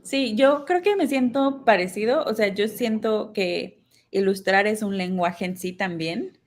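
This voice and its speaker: A woman's voice: medium pitch, unhurried. A woman who speaks casually and with energy as she moderates an illustrators' panel.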